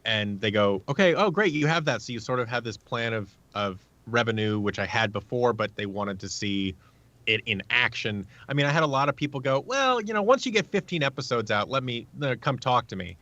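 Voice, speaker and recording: A man's voice: mid-range voice, very animated, brisk, sharp tone. A man speaking casually as an interview guest, an audio drama producer explaining his work. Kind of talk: casual, animated interview talk, acting out quoted lines. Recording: slightly dull, roomy sound.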